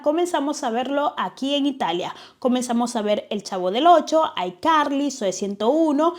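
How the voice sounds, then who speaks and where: A woman's voice: sits high, darkish tone. A young Dominican woman with a Dominican accent, hosting a channel and narrating a documentary in a chatty, digressive way, speaking straight to her viewers. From Dominican Republic. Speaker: YouTube channel host.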